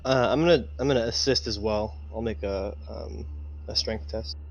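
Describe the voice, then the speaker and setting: A man's voice: lowish voice, normal speed, gravelly. A man playing a tabletop roleplaying game, talking casually with hesitant phrasing.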